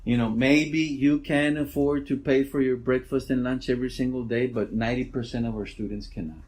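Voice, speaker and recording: Man, middle-aged, loud voice, school principal, easygoing interview answers, restarts his sentences. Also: mid-range voice, brisk. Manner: relaxed, rambling with restarts.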